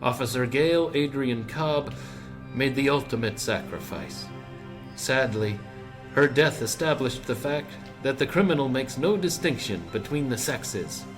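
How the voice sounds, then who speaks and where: A man's voice: mid-range voice, measured pace, music in the background. A man delivering a formal scripted tribute in a solemn manner.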